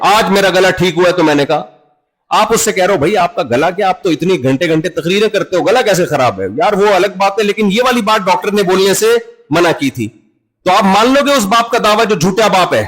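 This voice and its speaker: A man's voice: high voice, rough recording. Conversational man preaching a sermon. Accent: Urdu-speaking Pakistani. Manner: conversational, acts out dialogues with everyday examples. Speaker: Islamic preacher.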